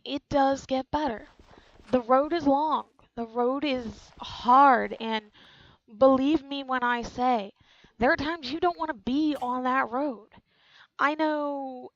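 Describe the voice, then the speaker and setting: A woman's voice: a little high, fairly bright tone, no room sound, lo-fi sound. A woman in her twenties, speaking frankly and earnestly about her own life, repeating key lines for emphasis. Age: 20s. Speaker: channel host. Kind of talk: personal testimony to camera.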